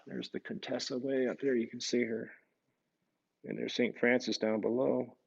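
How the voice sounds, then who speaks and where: A man reading a children's picture book aloud as a priest, with musing, halting asides to his young listeners. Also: medium pitch, little pitch movement, gravelly, husky, highs cut off. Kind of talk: storybook read-aloud with casual asides to children.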